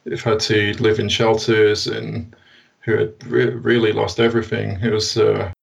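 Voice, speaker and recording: The British guest on an interview podcast, a man picking his words carefully in a creaky voice, sitting a bit back from his microphone. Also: fairly low pitch, completely flat delivery, a little slow, distant mic. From United Kingdom. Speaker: guest, science blogger. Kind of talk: conversational interview answers.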